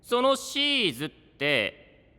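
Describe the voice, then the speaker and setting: A courteous anime trickster, high and bright with swooping, sing-song teasing. A long hall reverb trails the voice. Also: male voice, huge pitch swings, slow pace, smooth, full character voice. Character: playful, polite, mischievous male trickster host. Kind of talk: Japanese anime-style voice drama acting.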